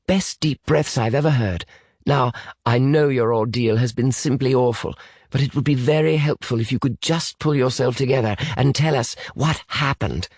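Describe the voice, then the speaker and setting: A man's voice: mid-range voice, normal speed, a little mellow, no echo, studio quality, pitched above the performer's own voice, natural voice. A man voicing a stiff, formal young British man with precise wording, anxious beneath it, in an audiobook story. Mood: soothing.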